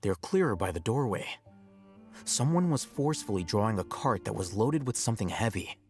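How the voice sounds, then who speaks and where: Young male game scholar: low register, composed, analytical, measured delivery over game music. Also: animated. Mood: grave, observant. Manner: measured, deliberate, expository. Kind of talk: scripted video game voice acting.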